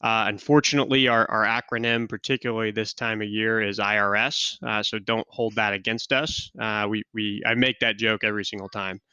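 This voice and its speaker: A man from Kansas City speaks as a relaxed, joking podcast guest and fills his sentences with ums and uhs. His voice is bright and crisp. The recording is close and dry. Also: lowish voice, a little fast, hardly any pauses, no room sound. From Kansas City, USA. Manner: joking, relaxed, many fillers. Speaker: guest, insurance risk specialist. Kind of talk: podcast interview.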